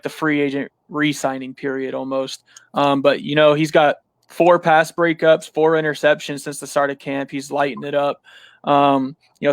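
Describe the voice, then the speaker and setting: A man's voice: fairly high pitch, dry recording. A man talking casually as a podcast guest about football, leaning on fillers like "you know". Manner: relaxed, filler-heavy, says "you know" a lot. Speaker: podcast guest, sports writer. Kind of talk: casual sports chat.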